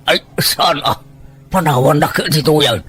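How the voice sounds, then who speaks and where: A man's voice: a little high, measured pace, music in the background, close to an everyday voice. An actor playing a teasing, bossy older village man who coaxes like a matchmaker in a rural audio drama. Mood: scolding.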